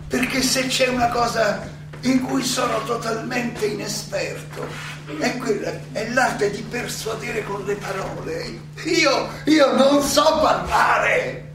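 Old greek philosopher defending himself onstage, ironic n indignant, keeps repeating himself, far off in big echo, music behind. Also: male voice, very high voice, exaggerated voice. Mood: wry, self-deprecating. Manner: halting, rhetorical, repeats phrases, interjects questions. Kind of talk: theatrical stage monologue. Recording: very echoey, distant miking, music behind.

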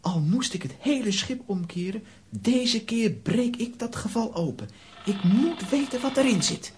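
A woman's voice: a little high, expressive, a little slow, darkish tone, slightly rough, heavily acted. A woman playing a plucky, determined young boy hero in a children's radio play, with a dramatic, exclamatory delivery.

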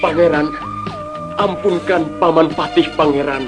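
A woman voicing a hot-tempered male palace minister in a storybook tale, gruff and blustering. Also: low-pitched, a little slow, over loud music. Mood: eager. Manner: gruff, blustering, then humbled.

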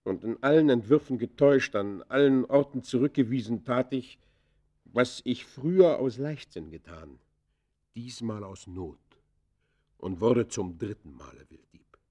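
An actor playing an embittered outcast poacher in a German radio play, confessing in formal, declamatory phrases with dramatic swells and hushed falls. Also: male voice, mid-range voice, lively intonation, measured pace. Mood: desperate. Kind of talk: German radio play acting, literary monologue.

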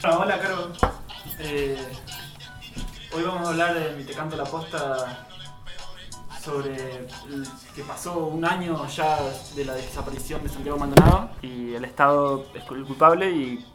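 A man's voice: fairly high pitch, unhurried, music in the background. An Argentine man speaking as a panelist in an informal radio discussion, hesitant and searching for his words.

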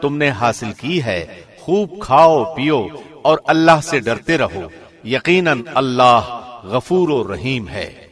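A man reading a scripture translation from a script in a measured, formal announcer's delivery. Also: mid-range voice, lively intonation, fairly bright tone. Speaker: translation reader, radio host.

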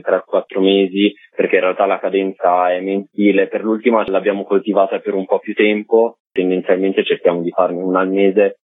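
A man's voice: low voice, dry recording. A man in his twenties giving casual, explanatory answers as a radio guest. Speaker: podcast maker, radio guest. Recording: dry, tinny phone line.

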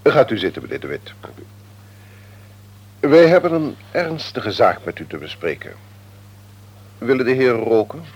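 An actor playing a stern, pompous company executive in a radio play, with officious, formal phrasing. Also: male voice, mid-range voice, big pitch swings, normal speed, pauses often, darkish tone, husky. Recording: old radio play recording.

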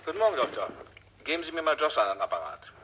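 A man's voice: fairly high pitch, very animated. An actor playing a pushy, self-satisfied male film boss in a 1960s German radio drama, heard through a telephone filter. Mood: bossy, jovial. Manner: brisk, bossy. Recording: dull, noisy telephone-line sound.

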